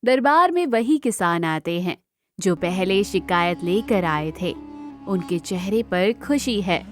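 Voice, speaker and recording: A woman's voice: lowish voice, expressive, slow pace, soft background music. A woman narrating a scripted children's moral story as the storyteller.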